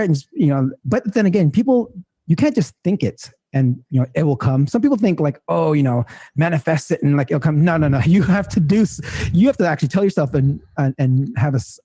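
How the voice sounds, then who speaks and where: A man's voice: a little high, dark tone, breathy edge, dry recording, studio quality. A man in his thirties, a guest in a casual interview, talking in a rambling way full of fillers and restarts. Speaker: guest entrepreneur.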